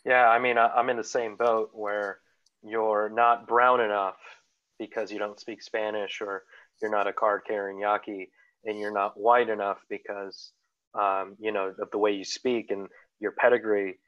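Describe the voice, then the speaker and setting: A man's voice: on the low side, level tone, moderate pace, fairly bright tone, no echo, dull audio. A man in his thirties speaking earnestly on a video call as a comics writer guest, telling a personal story. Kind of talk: casual panel conversation. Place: indoor room. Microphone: video call webcam.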